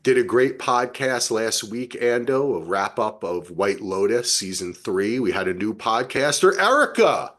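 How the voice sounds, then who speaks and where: Man, slightly deep husky voice, casual podcast chat, enthusiastic host. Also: animated, unhurried, hardly any pauses, a bit bright.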